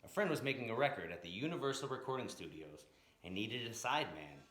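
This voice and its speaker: A man reading a story aloud in an expressive, dramatic narrating style with emphatic, rhythmic phrasing. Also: on the low side, fast talker. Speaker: storyteller reading a picture book aloud, blues educator. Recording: roomy, echoing room sound.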